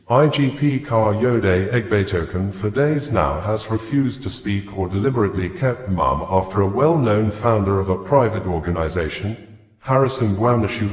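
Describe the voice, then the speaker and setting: A man's voice: fairly low pitch, darkish tone. A man reading scripted news in a formal manner, in long sentences. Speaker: news reader. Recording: echoey hall-like reverb.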